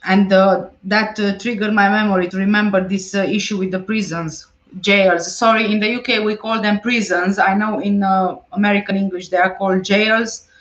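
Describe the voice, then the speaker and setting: A woman's voice: medium pitch, steady tone, normal speed, reverberant, dull audio. A woman hosting a casual livestream, reading viewer comments aloud and answering them conversationally. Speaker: livestream host. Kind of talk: casual livestream chat about a case.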